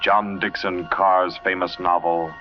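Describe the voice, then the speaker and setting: Low, portentous vintage radio announcer. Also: male voice, little pitch movement. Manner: portentous, measured, formal. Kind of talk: 1940s dramatic radio announcing, scripted. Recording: dull vintage radio recording, loud music behind.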